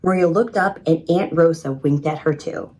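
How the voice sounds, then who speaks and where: A woman reading a children's story in an expressive, measured storytelling manner. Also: deep voice. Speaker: storybook reader. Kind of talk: scripted children's story narration.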